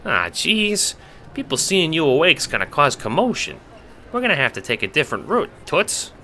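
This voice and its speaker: A man voicing a short, clumsy, brash but good-natured guard in an audio drama, with a squeaky chipmunk voice and an Italian-American accent. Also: wildly swooping pitch, normal speed, pushed and bright, slight background noise, exaggerated voice. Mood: impatient. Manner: slangy gangster talk, brash.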